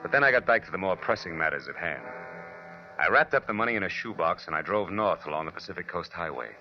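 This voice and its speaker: A man's voice: deep voice, lively intonation, fast. A man with an American accent playing a cynical, wisecracking private detective who narrates his own case in a 1940s radio drama. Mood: wry, driven. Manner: hardboiled, wry, slangy. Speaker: narrator and lead actor. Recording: muffled vintage radio broadcast with music.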